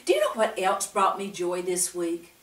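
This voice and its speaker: An older woman hosting a children's Bible story time, speaking directly to young listeners with frequent questions and pauses between phrases. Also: on the low side, sing-song. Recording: roomy, echoing, distant microphone.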